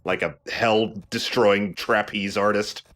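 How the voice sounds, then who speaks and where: A man's voice: on the low side, steady tone, slow, a bit bright. A man hosting a let's play, giving casual, joking commentary on a video game. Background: game music.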